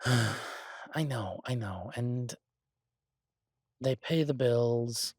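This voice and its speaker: An exasperated restaurant chef played by a man grumbles to his partner in a boyfriend audio roleplay. His mid-pitched voice is halting and conversational, recorded dry with no room sound. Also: breathy edge, no room sound. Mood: resigned.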